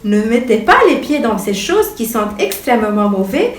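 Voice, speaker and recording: Animated high-voiced woman reading a bedtime story. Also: moderate pace. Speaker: storyteller reading to a young child. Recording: echoey room, distant mic, music behind.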